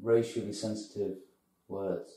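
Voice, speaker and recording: A man co-hosting a podcast, talking in a reflective, halting conversational way with many pauses. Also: fairly low pitch, fairly even intonation, mellow. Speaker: podcast co-host. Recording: echoey room, distant from mic.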